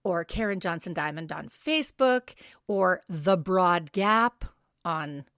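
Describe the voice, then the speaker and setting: A woman's voice: lowish voice, animated, slow, fairly bright tone, no room sound, clean studio sound. Woman, 50s, teasing podcast co-host, casual chat, very little room sound. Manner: playful, teasing.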